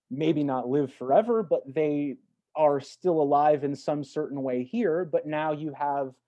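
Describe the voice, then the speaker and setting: A man speaking as an interview guest, an actor talking in a thoughtful, reflective way with measured phrasing and emphatic swells. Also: a little high, dark tone. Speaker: podcast guest, stage and voice actor.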